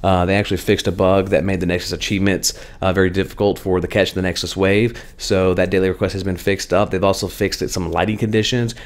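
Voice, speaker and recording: A man hosting a weekly game news update in a chatty, conversational way, his voice slightly hoarse. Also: low-pitched, little pitch movement, fast, few pauses. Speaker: gaming channel host.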